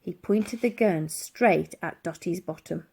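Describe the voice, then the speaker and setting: A woman narrating a children's adventure story in an animated storytelling style. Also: lowish voice, unhurried, vocal fry, slightly rough. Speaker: audiobook reader.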